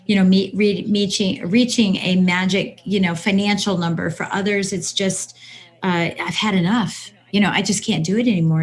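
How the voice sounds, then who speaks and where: An older woman, around sixty, talking conversationally as an interview guest, giving motivational advice and repeating phrases for emphasis. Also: lowish voice. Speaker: podcast guest, business coach.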